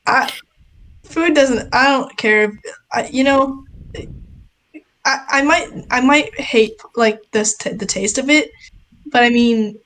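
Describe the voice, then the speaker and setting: Young woman, rambly casual podcast chatter, fairly high pitch. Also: slow pace, pauses often, fairly bright tone, slight background noise. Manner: rambling, giggly, many fillers. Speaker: podcast co-host. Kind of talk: casual chat.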